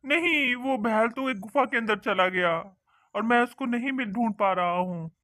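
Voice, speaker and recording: A man voicing an excitable fisherman husband in an animated cartoon, with exclamatory, breathy gasps. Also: very high-pitched, normal speed, no echo, clean studio sound. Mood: concerned. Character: excitable, greedy but kindly fisherman husband.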